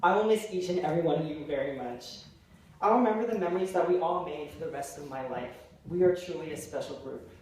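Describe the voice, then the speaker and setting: A young man of graduating age reads a formal farewell speech in a slightly high voice. The recording is distant and very echoey, like a big hall, with faint noise. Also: a little mellow. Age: late teens, young adult. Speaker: graduating senior giving a speech.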